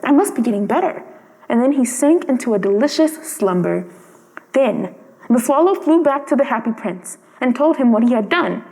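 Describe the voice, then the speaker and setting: A woman's voice: fairly high pitch, animated, normal speed, gravelly, mellow. A woman reading a storybook aloud as a scripted storyteller. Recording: long reverb.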